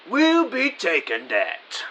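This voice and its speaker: A man playing a gloating, menacing thug in an audio drama, taunting with drawn-out words. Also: high-pitched, wildly swooping pitch, bright, forceful tone, heavily acted.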